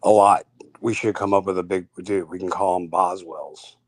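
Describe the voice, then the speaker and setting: A man's voice: low-pitched, steady tone, normal speed. A man in casual conversation, speaking informally and chiming in as a chat partner. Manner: informal, interjecting.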